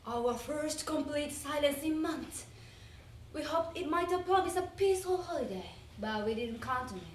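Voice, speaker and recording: A woman's voice: sits high, lively intonation, normal speed. A woman giving a theatrical, emphatic dramatic reading of a wartime letter as part of a readers theater. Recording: roomy, distant mic, faint noise.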